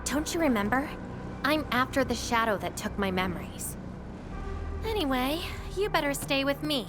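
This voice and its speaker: A woman's voice: high voice, very animated, normal speed, noisy background. Poised teasing fire-wielding young woman. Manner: poised, teasing, self-assured. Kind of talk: game dialogue. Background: game music.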